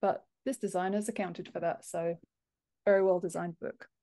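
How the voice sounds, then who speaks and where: A woman's voice: on the low side, fairly even intonation, normal speed, warm tone. A woman in her thirties talking casually as an interview guest on a video call from a room at home. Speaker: podcast guest, author and book formatter.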